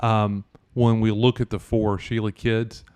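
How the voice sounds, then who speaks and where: A man's voice: lowish voice, flat delivery, measured pace, darkish tone, clear, resonant tone, no echo, studio quality. A man from Kentucky, a guest on an interview show, casually telling personal stories. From Louisville, Kentucky, US. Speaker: interview guest, business association president.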